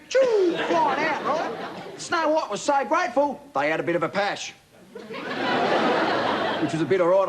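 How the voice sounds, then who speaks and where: A man playing a cheeky Australian bogan bloke with a broad accent, telling a comic bedtime story in a laid-back, slangy, matey way. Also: very high-pitched. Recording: TV studio with loud audience laughter, noisy.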